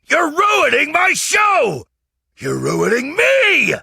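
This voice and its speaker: Lady doing a over the top puppeteer guy from a storybook, loud, swoopy, very theatrical. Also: high-pitched, huge pitch swings, slow, vocal fry, no echo, studio quality, pitched above the performer's own voice, full character voice. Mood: distraught. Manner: grandiose, emphatic declaiming. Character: effusive showman puppeteer, a theatrical man. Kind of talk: theatrical children's storybook read-aloud.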